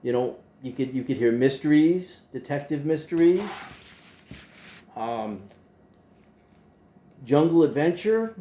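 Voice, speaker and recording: A man hosting a casual monologue to his viewers in a folksy, playful way, halting and repeating himself as he goes. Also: fairly high pitch, animated, warm tone, a little hiss or hum. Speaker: host.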